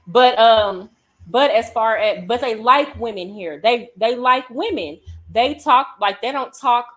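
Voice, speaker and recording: Vlog host, woman, from the States, chatty and emphatic, speaking voice pitched above average. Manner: chatty, emphatic, conversational slang. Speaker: reaction vlogger and travel coach. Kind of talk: casual reaction commentary. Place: home in Colombia.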